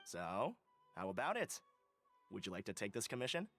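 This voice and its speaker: A man's voice: lowish voice, huge pitch swings, normal speed, fairly bright tone, soft background music, full character voice. A man playing a courteous, composed, articulate academy official in a video game. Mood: reassuring. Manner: polite, articulate, reassuring. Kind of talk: video game character acting.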